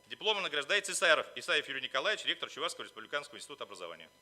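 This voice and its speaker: A man's voice: fairly high pitch, very animated, rapid-fire, noisy background. A man delivering a formal, official prepared speech as a conference speaker. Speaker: conference speaker, representative of the organizers. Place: conference hall at a library.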